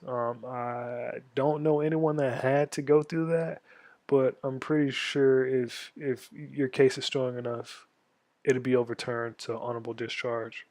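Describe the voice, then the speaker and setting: A man in his twenties from Florida, an army veteran walking viewers through a tutorial in a casual, halting way, stuffed up from a cold. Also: mid-range voice, dry recording. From Florida, USA. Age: 20s. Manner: halting, many ums and restarts. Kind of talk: casual screen-share tutorial.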